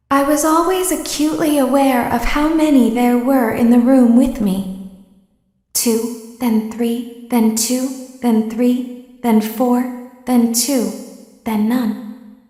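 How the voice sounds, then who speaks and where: A high, slow, measured voice of an eerie female robot clown, as a woman acts her out. She talks in calm, cryptic sentences. Everything echoes with a long reverb, as if in an empty hall. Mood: wistful. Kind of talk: fan voice acting of a game.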